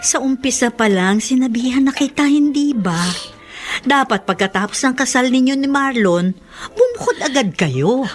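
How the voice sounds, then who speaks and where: A woman's voice: sits high, animated, moderate pace, faint music behind. Chatty, opinionated Filipino mother. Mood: concerned, motherly. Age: middle-aged. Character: chatty, opinionated middle-aged mother and mother-in-law. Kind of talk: Tagalog radio drama acting.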